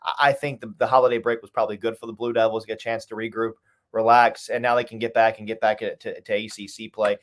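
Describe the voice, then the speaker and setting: A man's voice: lowish voice, little pitch movement, fast. A man who works as a sports announcer, giving casual, emphatic analysis as an interview guest.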